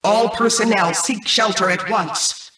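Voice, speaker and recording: A woman voicing a cold, arrogant mainframe intelligence in a video game, speaking in a formal, measured, commanding way. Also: mid-range voice, fairly bright tone, husky, poor audio. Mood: formal, detached. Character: cold, arrogant female mainframe artificial intelligence, calculating and imperious. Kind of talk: scripted video game voice acting.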